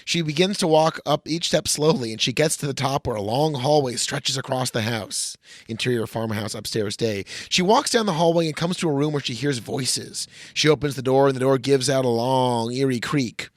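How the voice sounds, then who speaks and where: A man's voice: medium pitch, moderate pace, hardly any pauses, no room sound. A man hosting a podcast, casually reading a screenplay aloud with wry, profane asides. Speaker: podcast host.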